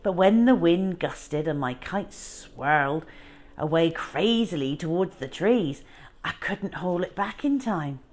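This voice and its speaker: A wistful old lady, as a woman reader plays her, looks back on a lonely childhood. Her voice is low and slow, reflective and measured, in storybook style. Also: lively intonation, a little mellow. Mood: wistful reminiscing.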